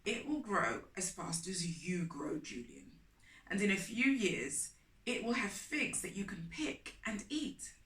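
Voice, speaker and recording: A woman's voice: mid-range voice, expressive, measured pace, a bit bright. A woman voicing a kindly, puzzled father in a children's storybook. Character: kindly, puzzled father talking to his young son. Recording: roomy echo, distant microphone.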